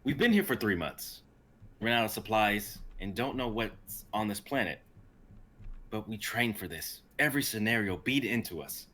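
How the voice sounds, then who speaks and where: An earnest young crash survivor, read by a man in an amateur sci-fi drama. The voice sits fairly low with a bright, forceful edge, protective and determined. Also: lively intonation, moderate pace. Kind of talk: amateur dramatic table reading.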